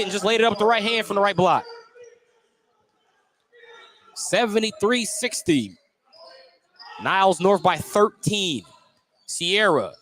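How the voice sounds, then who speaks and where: Male play-by-play radio announcer, basketball. Clearly high voice, detailed calls that lift on big plays, faint gym noise behind. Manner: excited on plays, detailed descriptive calling. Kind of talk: live high school basketball radio commentary.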